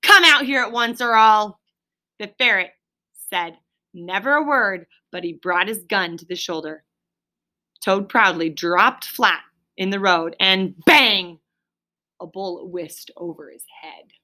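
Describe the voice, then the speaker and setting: A woman's voice: fairly low pitch, slow pace, sharp tone. A woman reading a children's story aloud expressively, as a storyteller who explains things to a listener. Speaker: reader, storyteller.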